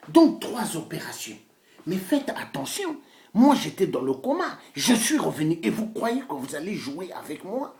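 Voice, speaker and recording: A man delivers an impassioned political monologue, declamatory and boastful, repeating phrases for emphasis. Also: high-pitched. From Congo-Brazzaville. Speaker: self-styled pastor and political activist. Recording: echoey room sound.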